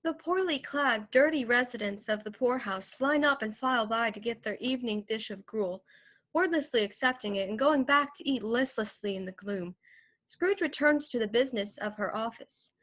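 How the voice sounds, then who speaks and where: A woman's voice: on the high side, normal speed, a bit bright. A woman reading scripted narration for an amateur radio play, recorded at home over a video call.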